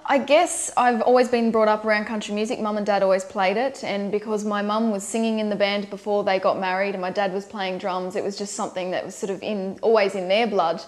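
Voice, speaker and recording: A woman's voice: mid-range voice, level tone, fairly quick, hardly any pauses. A young Australian country singer talking casually about her life and her new album, with many ums and uhs. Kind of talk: promotional interview monologue.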